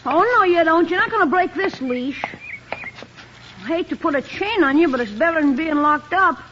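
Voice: extremely high pitch, animated, moderate pace, pushed and bright, heavily acted. An adult performer voicing a cheeky adolescent boy nephew in a 1950s radio sitcom, eager and wheedling. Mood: scolding. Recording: muffled old radio with faint hiss.

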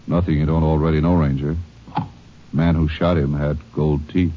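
Matter-of-fact doctor; deep, lo-fi sound. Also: male voice, level tone, moderate pace, heavy background noise. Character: calm male small-town doctor. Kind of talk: 1940s radio acting. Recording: dull old radio recording.

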